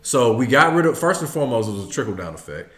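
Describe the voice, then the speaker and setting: A man's voice: mid-range voice, very animated, quick pace, fairly bright tone, reverberant. A man in his thirties with an American accent, chatting casually and playfully as a vlog co-host. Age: 30s. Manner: playful, joking, colloquial. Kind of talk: casual chat.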